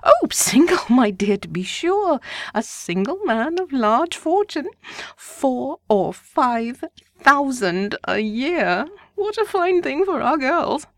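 A woman voicing an excitable, gossiping middle-aged mother of five who is fussy and insistent. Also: on the high side, normal speed, no echo, clean studio sound, voice pushed up. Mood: gushing.

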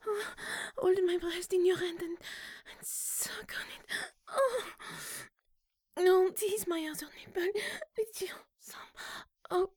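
A woman speaks in an unhurried, breathy whisper full of soft sighs, slipping French endearments into her English. Her voice sits high, and the sound is dry, with no room around it. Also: steady tone, no room sound. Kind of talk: whispered intimate roleplay audio.

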